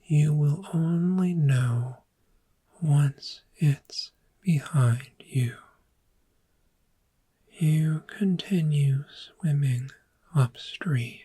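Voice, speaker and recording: A woman playing a calm, gentle dragon therapist, speaking in hushed, breathy, slow and soothing ASMR guided imagery. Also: low voice, full character voice. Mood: soothing, encouraging. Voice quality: breathy, whispery.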